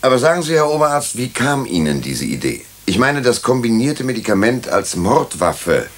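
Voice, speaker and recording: An actor playing a police inspector in a radio drama, courteous but probing and insistent. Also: male voice, mid-range voice, very animated, normal speed. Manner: courteous, probing, pointed questioning.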